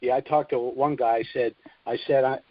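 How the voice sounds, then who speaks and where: A man's voice: medium pitch, fairly even intonation, a little fast, no echo. Middle aged guy hosting a call-in, chatty storyteller, dry muffled phone conference sound. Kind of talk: casual chat and storytelling. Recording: dull, lo-fi conference call audio.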